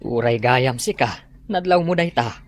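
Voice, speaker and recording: A man playing a calm, reassuring husband and father in a radio drama. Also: mid-range voice, unhurried, lightly acted.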